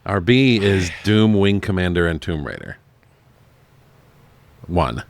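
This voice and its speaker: Male podcast co-host, very low register, easygoing casual talker, dry close recording. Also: big pitch swings, slow, creaky, dry recording. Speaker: podcast co-host reviewing movies. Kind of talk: casual chat.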